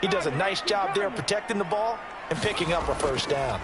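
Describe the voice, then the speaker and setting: A man's voice: sits high, animated, brisk, over a noisy crowd, very noisy. A man playing an American color commentator in a football video game, explaining in an emphatic, conversational way like an enthusiastic coach. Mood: engaged, analytical.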